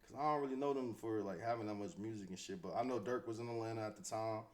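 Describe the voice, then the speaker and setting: A man's voice: lowish voice, fast. A man with an American accent giving casual, off-the-cuff commentary as a host, slangy and conversational, often repeating himself. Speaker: host commentating on hip-hop news.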